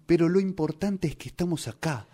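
Un hombre con acento rioplatense interpreta en un radioteatro aficionado a un guía de museo serio, regañón y didáctico, de habla enfática. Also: male voice, a little high, fairly quick, warm tone, lightly acted. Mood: resolute. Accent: Rioplatense Argentine. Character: earnest, scolding, didactic museum guide. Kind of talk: amateur radio play rehearsal.

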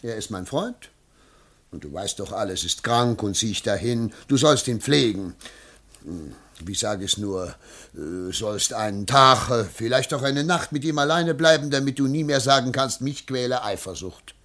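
An actor playing a greedy, jealous husband in a theatrical radio comedy, blustering and wheedling by turns. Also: male voice, medium pitch, lively intonation, normal speed, a bit bright, lightly acted. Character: greedy, jealous, scheming husband, volatile and self-deceiving. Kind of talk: theatrical German classic radio comedy acting.

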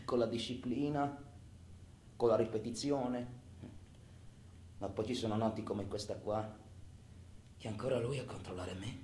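An actor playing a tormented, volatile criminal in a theatrical monologue, foul-mouthed and swinging between muttering and outbursts. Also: male voice, mid-range voice, unhurried, long pauses, warm tone, close to an everyday voice. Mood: anguished. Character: tormented, volatile criminal man, taunting and cursing himself. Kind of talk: theatrical monologue, radio stage drama. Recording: roomy echo, faint background noise.

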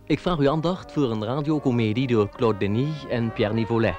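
A man announces a radio play in a formal, scripted manner. His voice sits at a medium pitch, with music playing clearly behind it. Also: a little fast, darkish tone.

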